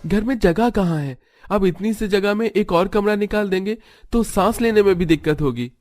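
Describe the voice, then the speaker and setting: A man's voice: high voice, moderate pace, darkish tone, pitched above the performer's own voice, close to an everyday voice. A man voicing an irritated young husband in a Hindi family cartoon, complaining in a whiny, exasperated way. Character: Ravi, irritated young husband wanting his sleep.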